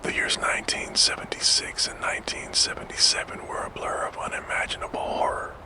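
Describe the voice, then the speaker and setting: A man narrating a scripted true crime story for sleep in an unvoiced ASMR whisper, slow and deliberate.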